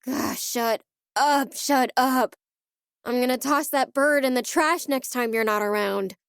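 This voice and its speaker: A woman's voice: high voice, measured pace, gravelly, clean tone, no room sound, studio quality. A woman voicing a grumpy, blunt, irritable young girl in a video game. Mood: annoyed.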